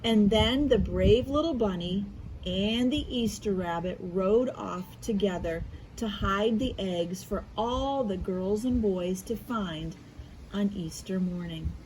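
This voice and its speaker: An American woman leads a read-aloud lesson for young children. She speaks slowly in a medium-pitched voice, expressive and encouraging, leaving room for answers. Faint noise sits behind her. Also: rough recording. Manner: expressive, encouraging, asks questions and pauses for answers. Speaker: school media specialist reading aloud to children.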